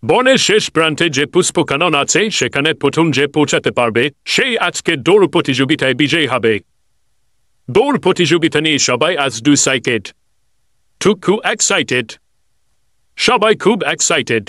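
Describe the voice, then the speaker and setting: A man's voice: on the high side, big pitch swings, normal speed, fairly bright tone, no room sound, clean studio sound. A man voicing a cartoon race organizer who announces the race and keeps the crowd in order. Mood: announcing. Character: bossy male race organizer of the forest. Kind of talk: cartoon voice acting.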